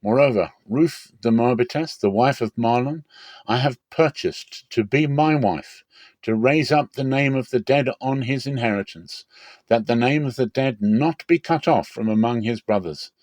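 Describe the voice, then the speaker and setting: A man's voice: medium pitch, a little slow, a little mellow, no room sound, voice pushed up, close to an everyday voice. Casting: male reader as earnest biblical landowner, formal public declamation, emphatic and commanding, dry sound. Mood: proclaiming.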